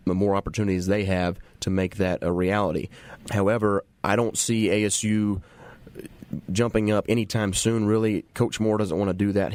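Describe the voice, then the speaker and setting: Man, deep voice, dry close sound, football analyst, sports talk show discussion. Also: darkish tone, no room sound.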